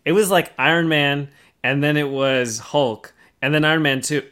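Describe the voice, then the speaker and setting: A man's voice: a little high, steady tone, moderate pace, a bit bright. Man hosting a podcast, explaining things. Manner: explanatory, poses rhetorical questions. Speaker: podcast host. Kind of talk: casual panel discussion.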